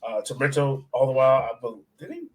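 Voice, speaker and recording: A man's voice: medium pitch, very animated, quick pace. A man hosting a casual soccer podcast, talking hesitantly as he thinks aloud. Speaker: sports podcast host.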